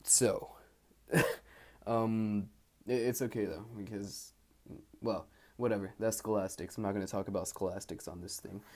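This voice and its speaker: A young man records a personal audio log on a handheld recorder, his voice sitting a little low. He talks in a relaxed, candid way, drifting between thoughts with plenty of fillers and false starts. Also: a little slow. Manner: meandering, full of fillers and restarts; laid-back and candid. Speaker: exchange student keeping an audio diary. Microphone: handheld recorder held close.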